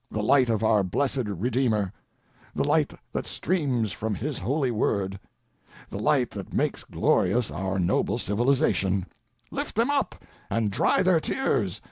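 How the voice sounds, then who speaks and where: A man's voice: medium pitch, creaky, husky, no room sound, full character voice. A man playing an indignant, self-pitying, sanctimonious king in a theatrical audiobook monologue, full of exclamation and emphasis. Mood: pious fervor.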